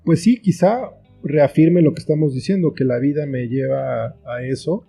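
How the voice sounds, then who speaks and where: A man's voice: on the high side, moderate pace, creaky, dark tone, soft background music. A man talking as an interview guest, explaining his thoughts reflectively in short phrases with examples. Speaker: guest, children's book author and screenwriter.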